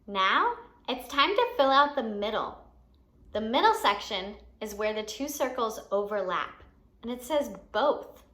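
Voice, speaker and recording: Kids' story-time host, female, bright high voice, playful, guiding young children step by step with questions. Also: sing-song, unhurried. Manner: enunciates for young children, asking them questions. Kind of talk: children's educational activity, instructing.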